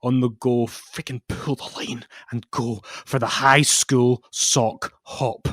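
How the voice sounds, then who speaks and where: A man playing a solemn poet, giving a theatrical mock dramatic reading of song lyrics, moving between hushed and loud delivery. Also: medium pitch, little pitch movement, slow, no room sound, studio quality.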